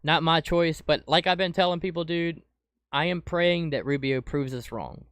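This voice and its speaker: A man hosting a casual podcast and reacting to news clips. He is excitable, says "dude" a lot, and is losing his voice from a cold. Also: fairly high pitch, moderate pace, clear, resonant tone, dry recording. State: losing his voice, getting sick. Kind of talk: casual commentary over clips. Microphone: close desk mic.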